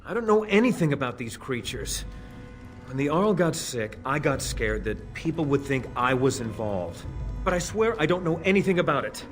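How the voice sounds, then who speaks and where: A man's voice: medium pitch, sing-song, normal speed, close to an everyday voice. An actor playing a frightened man caught spying, stammering and pleading. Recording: game audio over loud music. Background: game music.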